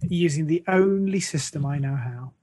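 A podcast co-host with a medium-pitched voice in casual conversation. The delivery is dry and teasing, with a mock-earnest way of making points. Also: normal speed, warm tone. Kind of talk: casual chat.

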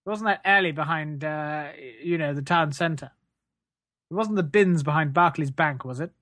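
A man's voice: on the high side, normal speed, sharp tone, no echo. Man playing a shifty, rambling British reverend. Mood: blithe, rambling. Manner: hesitant, evasive, rambling, falsely polite. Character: shifty, menacing, blithely shameless parish reverend. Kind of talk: improvised comedy sketch.

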